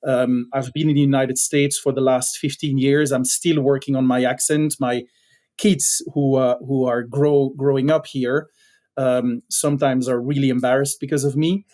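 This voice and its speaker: A middle-aged man from Belgium with a Belgian accent, a podcast guest telling a story in a halting way with many fillers. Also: medium pitch, little pitch movement, dark tone. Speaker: podcast guest, company founder.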